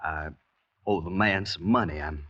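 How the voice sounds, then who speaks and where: An actor playing an uneasy, evasive former slave owner on the run in an old-time radio drama, speaking with nervous hesitation. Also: male voice, deep voice, moderate pace, bright, forceful tone. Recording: muffled old radio broadcast.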